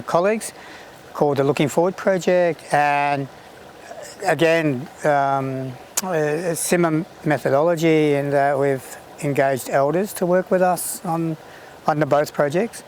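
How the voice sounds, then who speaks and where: An Australian man from Perth, the lead researcher, explaining his project in a measured way with frequent hesitations. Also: on the high side, slight background noise. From Perth, Western Australia. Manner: measured, with hesitations and throat clearing. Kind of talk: interview, reflective explanation.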